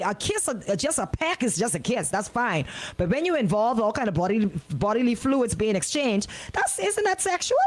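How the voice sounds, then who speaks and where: A woman radio host giving an opinionated monologue in an indignant, emphatic, colloquial way, repeating words for effect. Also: fairly high pitch. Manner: colloquial, folksy phrasing.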